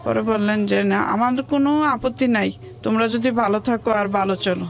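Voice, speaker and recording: A woman's voice: fairly high pitch, moderate pace, creaky. Colloquial Bangladeshi woman recounting her life. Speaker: guest telling her life story. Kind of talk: conversational personal storytelling.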